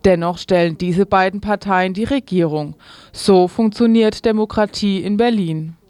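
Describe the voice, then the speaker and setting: A woman's voice: medium pitch, normal speed, mellow. A woman presenting on radio, reading a scripted commentary.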